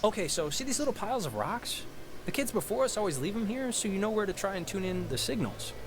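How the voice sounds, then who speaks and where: Animated, goofy teenage boy. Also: high voice, quick pace, noisy background. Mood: eager, explaining. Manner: animated, joking, slangy. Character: excitable goofy teenage boy, mischievous slacker friend. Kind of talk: naturalistic video game dialogue acting. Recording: music behind the dialogue.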